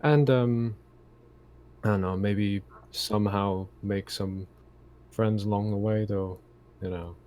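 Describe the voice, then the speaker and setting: A man's voice: lowish voice, fairly even intonation, takes it slowly, warm tone. A man talking casually as a tabletop game player, hesitant and groping for his words. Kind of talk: casual chat in online game session.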